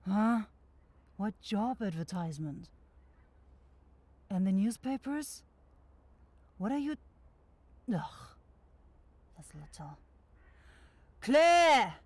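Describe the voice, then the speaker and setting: A woman's voice: medium pitch, animated, drawn-out words, long pauses, a little mellow, dry recording. Woman playing a curt, irritable demon woman, close and dry. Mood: irritated, impatient. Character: brusque demon woman running a soul shop. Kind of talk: fantasy ASMR roleplay acting.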